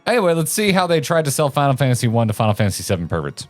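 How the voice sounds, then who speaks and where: A man co-hosting a video game show, talking casually in an animated, rambling and opinionated way. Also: mid-range voice, racing, faint music behind. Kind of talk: casual chat and commentary.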